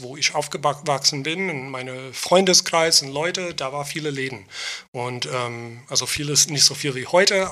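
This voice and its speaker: A man's voice: mid-range voice, bright, forceful tone. A man in his forties from New York with an American accent, telling stories casually as an interview guest, hesitating and mixing English words into non-native speech. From New York, United States. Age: 40s. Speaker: podcast guest, tattoo artist.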